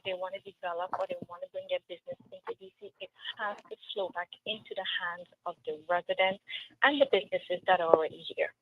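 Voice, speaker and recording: A woman's voice: lowish voice, expressive, fast. Woman with a Trinidadian accent over a tinny line. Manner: earnest, emphatic, with storytelling asides. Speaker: political candidate. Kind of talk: interview answers. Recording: tinny phone-like line with faint noise.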